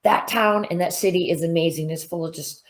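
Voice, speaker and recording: A woman's voice: on the low side, steady tone, brisk. A woman in her thirties, a fighter, talking frankly in a casual interview, swearing freely and full of fillers. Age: 30s. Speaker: bare knuckle fighter.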